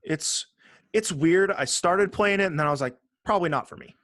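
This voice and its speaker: A man co-hosting a gaming podcast in casual chat, joking and sarcastic, swearing freely and often halting to rephrase. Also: high voice, a bit bright, no echo, clean studio sound. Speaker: podcast co-host.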